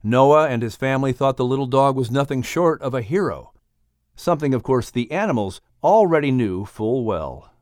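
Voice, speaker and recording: A man's voice: medium pitch, lively intonation, moderate pace, a little mellow, no echo, studio quality. A man reading scripted announcements and story narration expressively, like an audiobook narrator.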